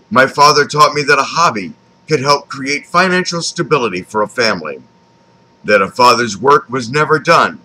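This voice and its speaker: Male memoir narrator, fifties, American accent. Bright, animated intonation, deliberate scripted read. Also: mid-range voice, rough recording. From Texas, United States. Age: 50s. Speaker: author reading his own memoir.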